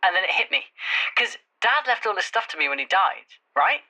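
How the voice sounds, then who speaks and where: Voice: medium pitch, big pitch swings, fairly quick, bright, forceful tone, full character voice, low-quality recording. An actor playing an impulsive, wry young friend who rambles and stammers through a voicemail in an audio drama. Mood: rambling.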